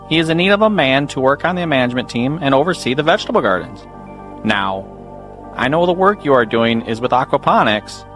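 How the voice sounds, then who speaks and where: Man playing an animated, formal camp official. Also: a little high. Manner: formal, explanatory. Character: courteous camp official arranging refugee placements. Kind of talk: audio drama acting.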